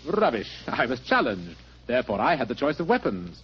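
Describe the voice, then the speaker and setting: An actor with a British accent playing a pompous, cold-blooded colonel in a blustering radio drama style. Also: male voice, medium pitch, huge pitch swings, fairly quick, husky, exaggerated voice. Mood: indignant. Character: haughty, cold-blooded colonel, villainous guardian. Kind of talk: 1940s radio drama acting. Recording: muffled 1940s radio recording.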